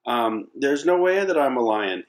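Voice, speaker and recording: Man voicing a timid, higher-pitched young lion cub. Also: big pitch swings, close to an everyday voice. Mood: frightened denial. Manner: halting, protesting. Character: frightened, naive young lion cub raised by sheep.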